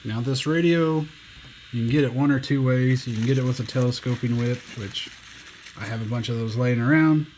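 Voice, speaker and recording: A man's voice: medium pitch, a little hiss or hum, rough recording. A man giving a casual, plainspoken hands-on demo of a pocket radio, walking through its buttons and bands. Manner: relaxed, plainspoken, slow and unhurried. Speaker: radio reviewer.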